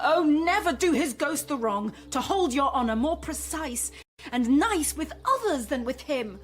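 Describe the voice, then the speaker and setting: An actress playing a bitter, grieving young widow in a Shakespearean radio drama, impassioned and reproachful. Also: female voice, sits high. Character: bitter, grieving young widow pleading with her father-in-law.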